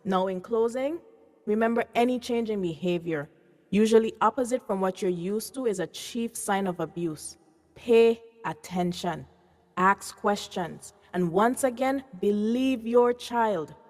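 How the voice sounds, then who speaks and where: A woman performs an earnest doctor in a radio drama, with a middle-pitched voice. She speaks deliberately and with weary conviction, pressing each point home. The room adds a long echo. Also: darkish tone, natural voice. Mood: earnest, reassuring. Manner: emphatic, deliberate, repeating for emphasis. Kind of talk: radio drama acting, public address.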